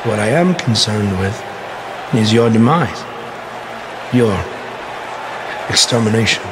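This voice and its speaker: A man playing a menacing cult-leader villain in a wrestling video game, theatrical, with dramatic pauses. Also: on the low side, animated. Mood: menacing, gloating. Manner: theatrical, slow dramatic pauses, sudden shouts. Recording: echoing arena reverb, noisy background.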